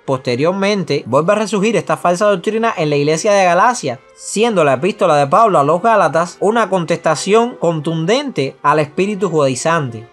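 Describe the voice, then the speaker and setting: A man's voice: sits high, a bit bright. A man co-hosting a religious discussion podcast, explaining doctrine in an earnest, teaching way and speeding up on asides. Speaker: podcast co-host.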